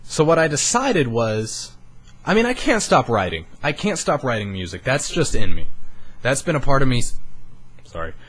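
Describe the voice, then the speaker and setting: A man's voice: medium pitch, sing-song, moderate pace, a bit bright. 18 yr old guy venting into his mic for youtube, raw, rambly, swears a lot. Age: young adult. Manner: earnest, rambling, swears casually. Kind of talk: candid personal vlog. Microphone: studio microphone.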